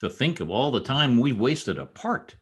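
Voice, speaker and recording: A man's voice: mid-range voice, big pitch swings, moderate pace, very noisy, natural voice. A man acting a wry, scheming newspaper reporter in an old-time radio comedy, with a theatrical delivery. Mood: mock romantic.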